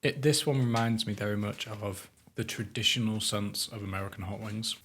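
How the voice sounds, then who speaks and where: A man co-hosting a podcast in casual chat, speaking calmly and explaining things with dry jokes. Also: lowish voice, normal speed. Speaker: podcast co-host. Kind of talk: casual chat while tasting hot sauce.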